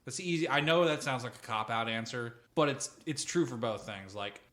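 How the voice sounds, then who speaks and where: A man with a mid-range voice chats casually as a podcast co-host. He rambles from one thought to the next, swears freely and tells things in an animated, informal way. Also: mid-range voice, normal speed. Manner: rambling, profane, animated storytelling. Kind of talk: casual chat about tabletop role-playing.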